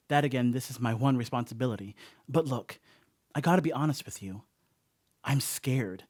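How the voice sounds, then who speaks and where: An actor playing a rattled, wry slacker who rambles through a confession in a horror comedy radio play. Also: male voice, mid-range voice, a little mellow, no echo, studio quality, close to an everyday voice. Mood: rambling, sheepish.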